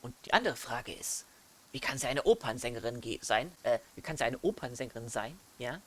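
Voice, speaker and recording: A man's voice: mid-range voice, animated, fairly quick, a bit bright. A German man hosting a let's play, chatting casually over a game. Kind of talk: casual gaming commentary.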